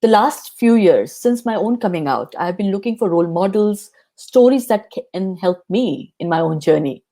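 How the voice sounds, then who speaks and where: A woman hosting an interview show, opening with a scripted introduction and then asking her guest measured, earnest questions. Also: mid-range voice, animated, warm tone. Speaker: podcast host.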